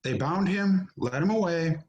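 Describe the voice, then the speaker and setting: A man narrating a scripture drama as an amateur reader, solemn and measured, in short phrases, recorded over a video call. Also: high voice, lively intonation, darkish tone, dull audio.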